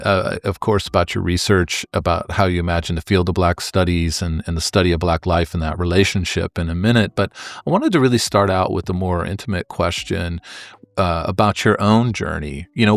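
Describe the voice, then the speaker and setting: Low-voiced man, closely recorded. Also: no room sound. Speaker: podcast host, interviewer. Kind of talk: conversational interview.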